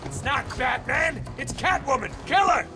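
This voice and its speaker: An actor playing an aggressive street thug shouting hostile taunts in a video game brawl. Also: male voice, very high-pitched, lively intonation, moderate pace. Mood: furious, taunting. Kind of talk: video game combat barks. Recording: game music and effects behind.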